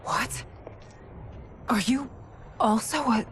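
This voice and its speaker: An actress playing a bewildered, vulnerable warrior queen in a video game, halting, breathy and disoriented. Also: fairly high pitch, a little hiss or hum. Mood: confused. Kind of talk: dramatic video game cutscene acting.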